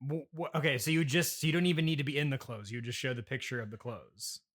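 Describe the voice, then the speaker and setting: A man's voice: mid-range voice, fast, fairly bright tone. A man hosting a casual improvised comedy interview, stammering and hesitant, with a politely incredulous manner. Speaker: podcast host interviewing a guest.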